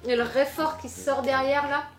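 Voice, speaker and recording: A high-voiced woman hosts a food tasting with dramatic, laughing reactions. The room echoes around her, and music plays behind her. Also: normal speed. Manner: expressive, laughs often, dramatic. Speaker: YouTuber host. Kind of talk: casual food-tasting chat.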